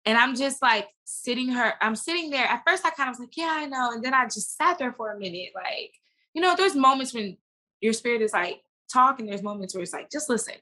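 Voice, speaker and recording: Bright-voiced woman telling stories casually. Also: a little high, brisk, poor audio. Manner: animated, informal, slangy, quotes herself often. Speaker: podcast guest, actress and business owner. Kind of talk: casual interview storytelling.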